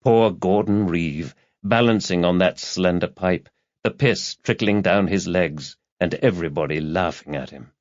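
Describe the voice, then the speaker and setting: A man narrating a thriller audiobook from a script in a measured, dramatic storytelling manner. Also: low-pitched, dry recording. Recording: dull lo-fi audio, very dry.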